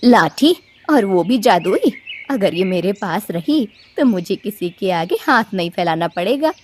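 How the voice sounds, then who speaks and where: A woman's voice: mid-range voice, very animated, measured pace, a little mellow, slight background noise. A woman voicing a stern, greedy, grumbling old grandmother bird in a children's cartoon, scolding and dismissive. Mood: greedy, scheming. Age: older. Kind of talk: Hindi children's cartoon voice acting.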